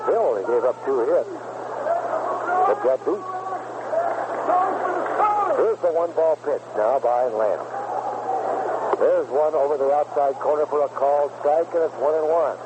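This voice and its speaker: Dull noisy radio recording, American male baseball announcer, fairly high voice, short unhurried phrases. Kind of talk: live radio sports commentary, 1970s. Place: ballpark broadcast booth.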